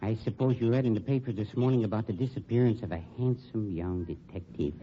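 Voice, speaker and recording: An actor playing a suave, menacing funeral director turned sleuth, with an American accent and formal, pompous, insinuating speech in old-time radio drama style. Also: male voice, fairly low pitch, fast talker, lightly acted. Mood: smooth, probing. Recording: dull, noisy old radio recording.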